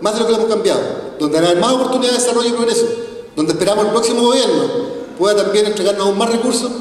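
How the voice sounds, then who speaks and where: Man, forties, high-pitched voice, mayor's podium speech, long hall reverb from far off. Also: very fast. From Chile. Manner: earnest, rhetorical, with repeated tag questions. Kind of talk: formal public speech. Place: large hall, on stage at a podium. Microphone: podium microphone, recorded from a far camera.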